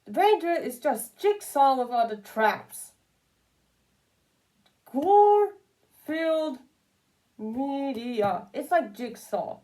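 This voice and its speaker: A woman's voice: sits high, expressive, slow, long pauses, mellow. Rambling, emphatic woman with a darker tone. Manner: rambling, emphatic, repeats phrases for stress. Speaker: commentary video creator. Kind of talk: casual unscripted opinion commentary.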